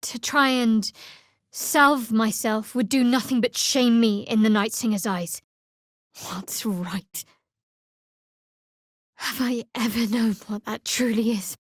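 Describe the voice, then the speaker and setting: An actress playing a guarded, sardonic young female cleric of a dark goddess in a fantasy video game, speaking in a measured, dryly wry way. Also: fairly high pitch, no room sound, studio quality. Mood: reflective, solemn. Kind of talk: video game voice lines, dramatic fantasy acting.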